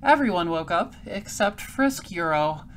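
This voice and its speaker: An actress playing a sardonic, self-assured space detective and narrator, with a dry, businesslike delivery. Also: female voice, fairly low pitch, expressive, unhurried, slight background noise. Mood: narrating. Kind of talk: audio drama acting and first-person narration.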